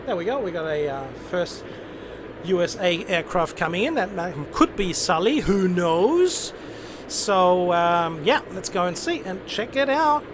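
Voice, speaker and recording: Male stream host, high voice, enthusiastic casual commentary, slightly noisy setup. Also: animated, unhurried. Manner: enthusiastic, repeats phrases for emphasis.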